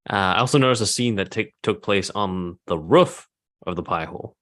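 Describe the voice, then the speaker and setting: A man with a low voice talks in a casual group discussion. He gives his opinions tentatively, qualifying them as he goes. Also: normal speed, a bit bright.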